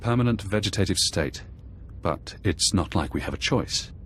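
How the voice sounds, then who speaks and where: Cold commanding mentor for a game: deep, clipped, urgent, faint noise behind. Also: male voice, lively intonation, normal speed. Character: cold, urgent male mentor reprogramming an agent's mind. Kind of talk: video game voice acting.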